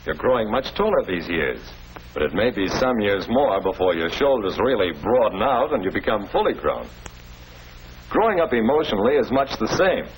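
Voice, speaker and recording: A fatherly, middle-aged American schoolmaster from a 1940s classroom film. His low voice swings expressively as he patiently explains. Faint noise from the old soundtrack sits under it. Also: sing-song, moderate pace, low-quality recording. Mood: patient, instructive. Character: patient, avuncular male school principal or teacher. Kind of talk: 1940s educational film acting.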